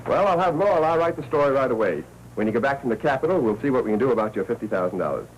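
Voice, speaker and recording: An American actor playing a brisk, wisecracking newspaper editor in a 1940s noir film, clipped and commanding. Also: male voice, mid-range voice, lively intonation, natural voice. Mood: brisk, decisive. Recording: muffled 1940s film soundtrack with hiss.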